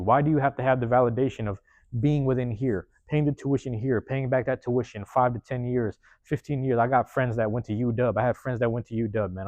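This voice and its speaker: Guy rambling motivational talk, street slang, kinda hoarse, dead dry sound. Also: medium pitch, darkish tone, no echo. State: voice going hoarse. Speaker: podcast host. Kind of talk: casual motivational monologue. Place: university room.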